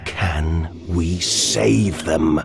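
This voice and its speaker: A man's voice: sits low, very slow, gravelly, heavily acted. A man voicing a sentient industrial machine in a video game, a mocking zealot who preaches grandly and with contempt. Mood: sinister calm. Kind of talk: theatrical video game villain monologue. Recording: distant, echoing voice.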